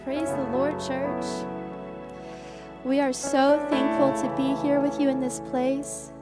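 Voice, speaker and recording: A woman's voice: high-pitched, flat delivery, slow pace. A young woman in a church sanctuary speaks into a handheld mic, introducing her college music group in an upbeat, chatty way. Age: young adult. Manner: upbeat, warm church talk with filler words. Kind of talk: church stage announcement. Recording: church music playing behind the voice. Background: soft instrumental music.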